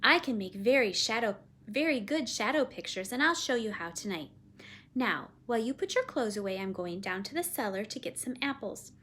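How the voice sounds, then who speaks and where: A woman's voice: on the high side, expressive, moderate pace, bright, forceful tone, slight background noise. A woman voicing a kindly, cheerful countrywoman in a children's story, chatty and reassuring. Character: kindly, cheerful countrywoman Missus Piggle Wiggle.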